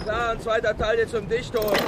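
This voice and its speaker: An actor playing a whaling ship officer in a radio drama, barking commanding work orders. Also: male voice, very high-pitched, completely flat delivery, fairly quick. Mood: commanding, urgent. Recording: distant shouts in loud ship noise.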